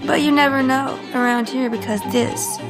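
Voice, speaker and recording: A woman's voice: sits high, fairly even intonation, normal speed, music in the background. A woman playing a teasing, ominous storyteller, narrating an audio drama in a mischievous, theatrical manner. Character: teasing, ominous female storyteller game master.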